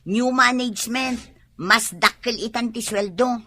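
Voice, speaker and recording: Assertive Ilocano wife, bright high voice. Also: female voice, a little slow, dry recording, studio quality. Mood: firm. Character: assertive, fed-up working wife. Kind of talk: radio drama acting.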